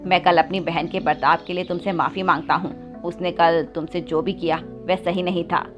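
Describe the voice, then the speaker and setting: A woman's voice: on the low side, little pitch movement, moderate pace, music in the background, voice pushed down, natural voice. A woman voicing a kind, earnest young male parrot, a protective big brother, in a children's cartoon story. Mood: concerned. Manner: reassuring, earnest, firm.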